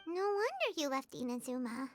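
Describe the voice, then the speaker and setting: A woman voicing a tiny, childlike fairy girl companion in a video game, squeaky, cheerful and excitable. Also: brisk. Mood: supportive, eager. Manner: excitable, speaks of herself by name. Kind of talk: scripted video game dialogue. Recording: loud game music behind.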